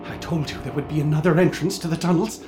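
An actor playing Callum, an excitable occultist who insists on calling out to a murdered man's spirit in a radio drama. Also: a little high, fast, natural voice. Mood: tense. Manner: urgent, theatrical, calls out to a spirit. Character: excitable occultist man named Callum, intense. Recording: music bed under dialogue.

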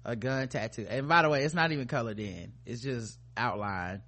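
A man's voice: medium pitch, normal speed, sharp tone. A man hosting a casual comedy podcast, reacting with incredulous, slangy, profane commentary. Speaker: podcast host.

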